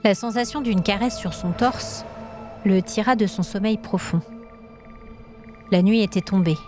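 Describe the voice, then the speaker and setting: A woman tells a scripted fantasy tale as a storyteller. Her voice has a medium pitch, and the narration is dramatic, with light music underneath. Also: unhurried.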